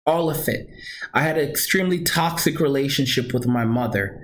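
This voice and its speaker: A man's voice: medium pitch, fairly quick, a bit bright. A man telling a personal story straight to his viewers, earnest and emphatic, repeating points and correcting himself as he goes. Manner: frequent restarts and self-corrections. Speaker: vlogger.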